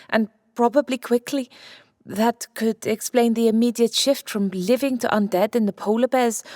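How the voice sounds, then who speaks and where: A woman playing a brisk, clinical scientist wife in an audiobook drama, matter-of-fact and firm. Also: a little high, darkish tone, no room sound, studio quality, close to an everyday voice. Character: Santa's brisk, clinical scientist wife, immortal, coolly determined.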